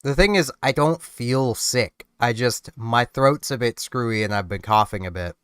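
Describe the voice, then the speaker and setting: A man's voice: mid-range voice, normal speed, a bit bright, clean tone, dry recording, studio quality. A man, sounding a bit congested from a cold, chatting casually and self-mockingly while streaming a game. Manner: rambling, self-mocking, laughs often. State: congested, getting sick. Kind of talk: casual gameplay commentary.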